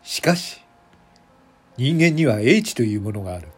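A man reading scripted narration for an audio drama in a measured, deliberate manner, phrase by phrase. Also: mid-range voice, big pitch swings, husky, faint music behind.

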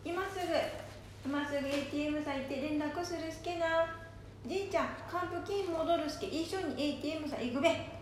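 A woman acts out a chatty, excitable old grandmother in a homemade radio drama. Her voice is high-pitched, with a country Nanbu dialect. It rings in a very echoey room over faint noise. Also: fairly quick, lightly acted. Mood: trusting, eager. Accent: Nanbu dialect, Japan. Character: gullible, stubborn, chatty elderly grandmother.

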